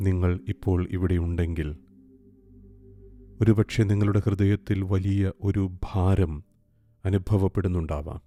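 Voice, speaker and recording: A man guiding a meditation in a calm, gentle, soothing way, leaving long pauses. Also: low voice. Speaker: meditation guide. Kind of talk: guided meditation, slow soothing instruction. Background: soft meditation music with singing bowl.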